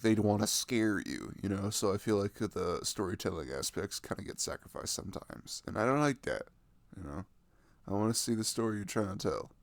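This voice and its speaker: A young man playing a sweet, playful boyfriend in an intimate ASMR roleplay, rambling softly and casually close to the microphone. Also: on the low side, vocal fry, no echo. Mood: casual, reflective. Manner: soft, rambling, frequent chuckles and hums. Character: sweet, playful, affectionate boyfriend cuddling his partner.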